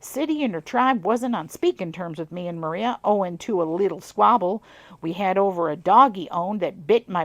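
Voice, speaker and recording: A woman doing a gruff old Southern yarn-spinner. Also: mid-range voice, dry recording. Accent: put-on Southern twang. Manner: folksy drawl, dropped endings. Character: grumbling old rural man telling a family tale.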